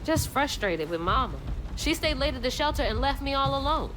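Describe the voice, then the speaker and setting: A woman's voice: sits high, expressive, a little fast, background noise. A woman playing a headstrong, worried young woman with a Southern US accent in a video game, talking informally. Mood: casual, frustrated. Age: young adult. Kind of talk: video game cutscene acting. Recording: loud music and rain behind voice.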